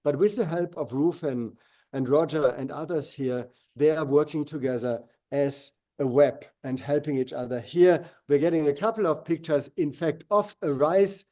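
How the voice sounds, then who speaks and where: Host, male, earnest, halting, mellow and dark tone, higher-pitched. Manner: earnest, halting with fillers and restarts. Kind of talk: moderating a live video call. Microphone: video call.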